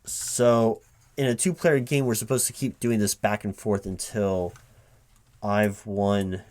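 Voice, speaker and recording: A man in a spoken, unwhispered ASMR roleplay, talking casually and haltingly to an unheard partner as if puzzling things out aloud. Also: fairly low pitch, fairly even intonation, darkish tone. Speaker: recruiting manager interviewing a gamer in a one-sided roleplay. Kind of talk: spoken ASMR roleplay, casual one-sided conversation, not whispered.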